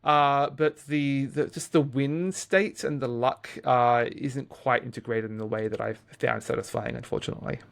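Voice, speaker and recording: A middle-aged man co-hosting a podcast, giving a casual game review in a halting, hesitant way with repeated words. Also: mid-range voice, fairly even intonation, vocal fry. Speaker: podcast co-host.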